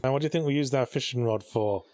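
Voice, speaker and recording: A man's voice: mid-range voice, fast, darkish tone, no echo. A man giving sarcastic, joking video game commentary alongside a co-host. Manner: sarcastic, sardonic.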